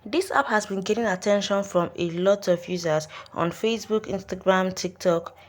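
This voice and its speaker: A woman's voice: mid-range voice, normal speed. A Nigerian woman talking viewers through an app step by step, repeating her warnings with insistent emphasis. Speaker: online earning tutorial host. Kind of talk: step-by-step app tutorial voice-over.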